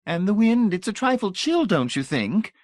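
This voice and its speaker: A woman's voice: mid-range voice, very animated, moderate pace, darkish tone, dry recording, clean studio sound, voice pushed up, natural voice. A man voicing a gushing, sweetly menacing matronly older woman who talks in a syrupy, matter-of-fact way.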